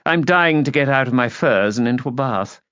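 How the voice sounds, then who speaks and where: A man's voice: medium pitch, animated, moderate pace, a bit bright, clean tone, no echo, lo-fi sound, lightly acted. A man voicing a haughty, imperious upper-class woman in an audiobook, clipped, condescending and cutting. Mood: cool, commanding. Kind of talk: audiobook character voice.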